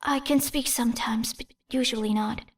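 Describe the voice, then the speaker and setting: A woman voicing a shy, hesitant teenage boy with a soft, halting way of talking. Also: a little high, fairly even intonation, recorded from a distance, lightly acted.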